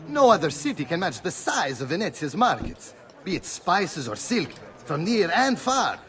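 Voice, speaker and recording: A man's voice: high-pitched, sing-song, normal speed, fairly bright tone. A man playing a proud Venetian tour guide in a video game, with an Italian accent and a theatrical, effusive manner. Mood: proud, gushing. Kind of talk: video game character acting.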